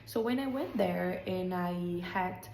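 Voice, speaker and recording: A young adult Brazilian woman with a Brazilian Portuguese accent, an English teacher talking slowly and deliberately in a lesson podcast, using short repeated sentences. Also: fairly low pitch, creaky, a little mellow, roomy sound, slight background noise.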